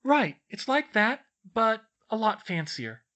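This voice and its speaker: Seeking a man to play a smug, clever schoolgirl in a storybook, high and lilting, explaining things matter-of-factly. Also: sing-song, sharp tone, exaggerated voice. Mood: brisk, explanatory. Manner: brisk, explanatory, matter-of-fact. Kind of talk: audiobook character voice.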